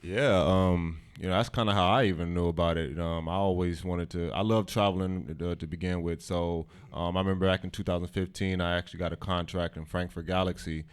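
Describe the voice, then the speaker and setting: Very deep, flat-voiced coach. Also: male voice, smooth. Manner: halting, many fillers. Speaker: football coach. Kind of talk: casual interview answers.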